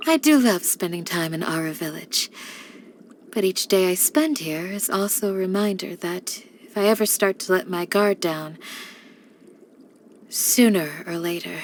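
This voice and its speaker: A woman voicing a calm, devoted young village guardian in a video game, speaking in a measured, gentle and formal way. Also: fairly low pitch, slight background noise, close to an everyday voice. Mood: solemn, resolute. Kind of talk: scripted game character voice lines.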